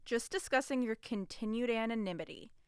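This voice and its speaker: A woman's voice: on the high side, fairly quick, smooth, dry recording, studio quality, natural voice. A woman playing an earnest, guarded police interrogator in an audio drama, with a firm, businesslike and strained manner. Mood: firm, probing.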